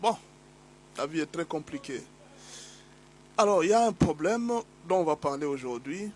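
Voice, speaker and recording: A man with a somewhat high voice delivers a sermon while fighting a cold, his tone slightly hoarse. He drives his points home through repetition and rising exhortation. A faint hum sits under the recording. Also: lively intonation, moderate pace, darkish tone. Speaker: pastor. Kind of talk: sermon teaching.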